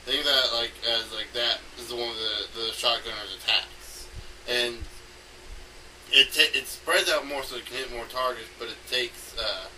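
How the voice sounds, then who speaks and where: A man giving an unscripted, rambling explanation as an amateur game designer, halting often as he thinks aloud. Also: medium pitch, steady tone, bright, forceful tone. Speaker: amateur game designer recording an audio log. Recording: noisy home recording, distant from mic.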